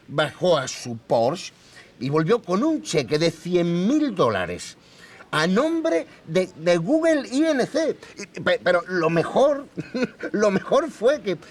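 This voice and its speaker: A man playing a rambling expert interviewee who explains in a halting, conversational way, often repeating himself. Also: high voice, heavily acted.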